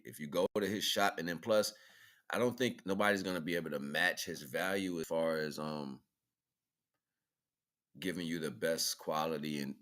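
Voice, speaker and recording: Very deep-voiced man hosting a livestream. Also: a bit bright. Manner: informal, colloquial, addresses listeners as brothers and sisters. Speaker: host of an online livestream radio show. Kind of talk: casual rambling livestream talk.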